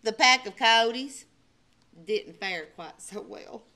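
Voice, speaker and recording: Children's storyteller, woman: unhurried, bright, theatrical, highly expressive delivery. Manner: theatrical, emphatic, with hushed dramatic drops. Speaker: librarian storyteller. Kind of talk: animated children's storybook narration.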